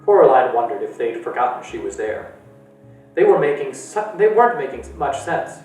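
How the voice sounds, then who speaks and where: A man's voice: moderate pace, recorded from a distance. Man reading a story in an echoey room. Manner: steady storytelling with lively pitch. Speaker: library storytime reader. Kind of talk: reading a novel aloud. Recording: echoey room from afar with faint music.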